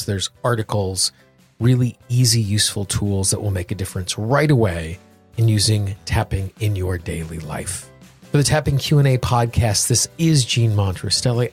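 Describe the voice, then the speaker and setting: A man hosting a solo podcast, speaking earnestly in deliberate phrases, repeating words for emphasis. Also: on the low side. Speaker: podcast host. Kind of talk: solo podcast talk with guided affirmations.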